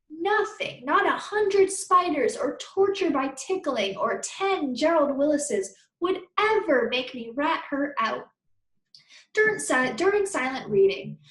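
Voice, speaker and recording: A woman reading a children's story aloud expressively, like a teacher to her pupils. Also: high voice. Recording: roomy, echoey sound.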